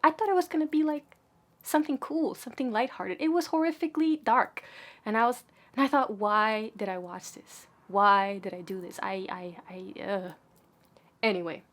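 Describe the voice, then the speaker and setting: A woman talking straight to her audience in a chatty, opinionated vlog, with a voice of ordinary middle pitch for a woman. She swings between loud emphatic bursts and quick hushed asides. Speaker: vlogger. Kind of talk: casual opinionated monologue to camera.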